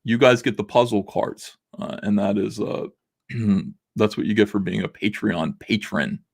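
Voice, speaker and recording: A man in his forties hosting a casual livestream, reading viewer messages aloud, rambling with lots of fillers while his voice is going rough. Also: lowish voice, measured pace, darkish tone. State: losing his voice. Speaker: livestream host. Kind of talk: casual livestream chat reading super chats.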